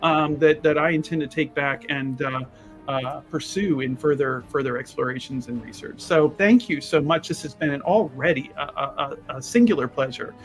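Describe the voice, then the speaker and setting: A man's voice: on the high side, faint music behind, lightly acted. A man playing a courteous, inquisitive and very wordy scholarly visitor in an improvised tabletop roleplaying game. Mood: earnest, effusive. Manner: verbose, flowery, halting.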